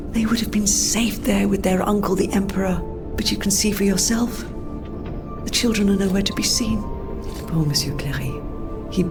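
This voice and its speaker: Anguished, low-voiced noblewoman played by a woman. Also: natural voice. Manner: formal, dramatic, mournful. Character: anguished French noblewoman of the royal court. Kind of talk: video game voice acting. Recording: game dialogue over loud music.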